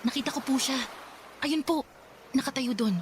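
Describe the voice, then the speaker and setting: A woman's voice: high voice, normal speed, close to an everyday voice. An actress playing a wronged young single mother in a Filipino radio drama, emotional and pleading. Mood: anxious, pleading. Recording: loud noisy street-like ambience.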